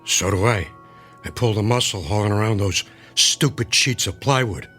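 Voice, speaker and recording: An actor playing a gruff, husky-voiced working man as a clinic patient in a radio drama. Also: lowish voice, moderate pace, pushed and bright, soft background music. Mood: pained, gruff.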